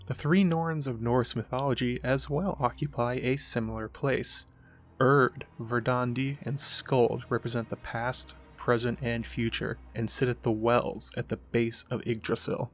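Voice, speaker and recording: A man's voice: medium pitch, steady tone, a little slow. A man hosting a literary video essay, reading scripted explanatory narration.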